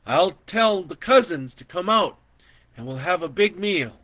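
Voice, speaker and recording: Pleading aunt , voiced by a male reader: tearful, high, bright and lively. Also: a little slow. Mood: eager pleading. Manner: pleading, emotional. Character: emotional middle-aged aunt, pleading and tearful.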